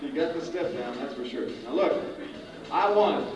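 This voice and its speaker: A man's voice: fairly high pitch, animated, moderate pace. Brash man on a live stage, echoey hall. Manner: brash, streetwise, mock-exasperated. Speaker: stage performer. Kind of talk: live comedy sketch with a band. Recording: echoey live hall, distant mic, muffled, music behind.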